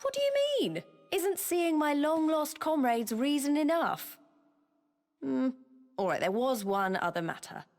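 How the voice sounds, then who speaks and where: A woman's voice: high-pitched, big pitch swings, unhurried, darkish tone, exaggerated voice. A frank, casual soldier woman, music behind. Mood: teasing. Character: friendly, informal woman soldier bringing news from an alliance. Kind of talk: video game cutscene voice acting. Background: game music.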